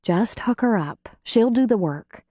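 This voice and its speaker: A woman reading the part of a caring, level-headed midwife in an audiobook, gentle, earnest and concerned. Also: on the high side, expressive, measured pace, dry recording, clean studio sound, pitched above the performer's own voice. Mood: concerned, firm. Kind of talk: audiobook character dialogue.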